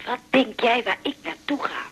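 Animated, husky middle-aged mother. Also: on the high side, heavily acted. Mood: coy, pleased. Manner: animated, fussy, quick to interject. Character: chatty, nosy widowed mother of grown daughter. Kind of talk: naturalistic 1980s radio drama acting.

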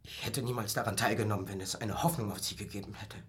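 A man's voice: on the low side, steady tone, fast talker, a bit bright, close to an everyday voice. An actor in a German radio play playing a passionate young man in love, intense, wounded and pleading. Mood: bitter, resigned. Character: passionate young male lover, desperate and wounded.

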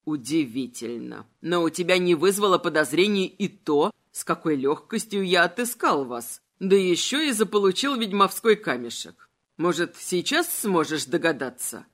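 A woman voicing a cold, manipulative male immortal villain in an audiobook, insinuating and mocking in an unhurried way. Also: on the low side, lively intonation, pitched below the performer's own voice. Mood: smug, insinuating.

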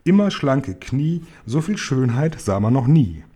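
A man's voice: mid-range voice, big pitch swings, a little slow, dark tone, husky. A man voicing a skinny, vain and cruel aunt in a storybook, haughty and cutting. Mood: haughty, mocking.

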